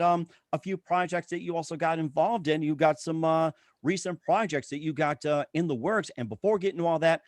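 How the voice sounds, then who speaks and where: A man's voice: fairly high pitch, a little mellow, no room sound, clean studio sound. A man hosting a talk show, reading plugs and introductions in an announcer-like way with stock phrases. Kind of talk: promotional show host talk, ad reads and guest introduction.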